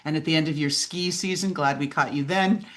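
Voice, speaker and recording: A woman's voice: low-pitched, brisk, a bit bright. A woman hosting a podcast, asking questions in a conversational interview style. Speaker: podcast host.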